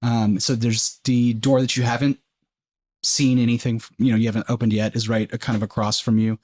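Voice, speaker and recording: A man's voice: mid-range voice, little pitch movement, brisk, a little mellow, no echo, clean studio sound. A man working as game master, improvising descriptions in an informal, conversational way. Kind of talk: improvised tabletop game narration.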